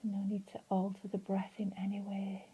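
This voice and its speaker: A woman leading a guided relaxation in a soft, breathy, soothing voice, leaving long pauses between phrases. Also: mid-range voice, monotone, muffled recording. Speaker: meditation and relaxation instructor.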